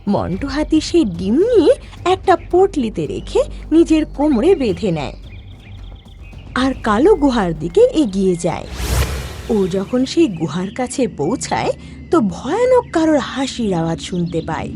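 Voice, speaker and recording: Lively, high-voiced woman narrating a story. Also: measured pace, faint music behind. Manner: expressive, dramatic storytelling. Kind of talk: animated children's storybook narration.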